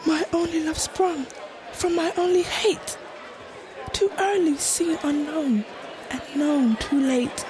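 Passionate young girl in love, played by a young woman, high-pitched, earnest Shakespearean verse, audio play. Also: big pitch swings, slow pace, long pauses, crowd noise, heavily acted, rough recording. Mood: stricken. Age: young adult. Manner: earnest, poetic verse delivery. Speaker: student actor. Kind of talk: Shakespearean audio drama acting.

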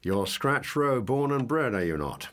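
An actor playing a genteel English lord in a period audio drama, formal and grandiloquent, with an upper-class accent. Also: male voice, medium pitch, big pitch swings, unhurried, fairly bright tone. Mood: courteous, formal. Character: genteel, pompous English lord, courteous and scheming.

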